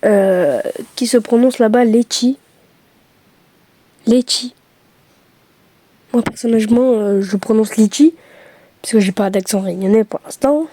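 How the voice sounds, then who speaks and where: A woman's voice: on the high side, unhurried, pauses often, dark tone, no room sound. A young woman from France chatting casually to her camera, hesitant with many fillers and self-mocking asides. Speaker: vlogger.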